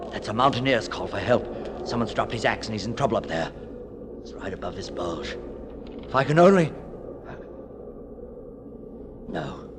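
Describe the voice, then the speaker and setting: An actor playing a weary, fatalistic man in his fifties, brooding aloud in a noisy 1940s radio drama. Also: on the low side. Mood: straining, urgent. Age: 50s. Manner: brooding, dramatic, haltingly musing. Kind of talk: 1940s radio drama acting, interior monologue. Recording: noisy, hissy 1940s radio broadcast recording.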